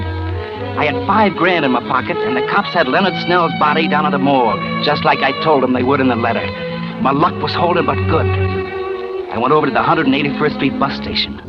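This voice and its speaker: Through a dull vintage radio recording with prominent music comes a male actor as a scheming American crook. His voice sits slightly high for a man. He narrates in tough slang, agitated and cocky, with a bitter edge, in 1940s thriller fashion. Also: natural voice. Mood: cocky, gloating. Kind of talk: 1940s radio noir acting, first-person narration.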